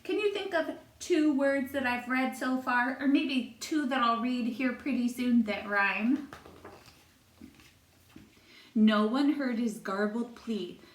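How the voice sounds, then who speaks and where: Female primary teacher, storybook read-aloud: unhurried, expressive phrasing, fairly high voice, echoey room. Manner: expressive, clearly spaced phrasing addressed to children. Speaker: first-grade teacher. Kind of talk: read-aloud of rhyming storybook for young children.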